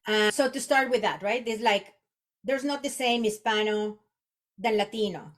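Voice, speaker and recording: A woman's voice: medium pitch, moderate pace. A woman in her forties from Mexico, a native Spanish speaker with a Mexican accent, reflecting conversationally as an interview guest and often restarting her phrases. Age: 40s. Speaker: podcast guest, executive coach.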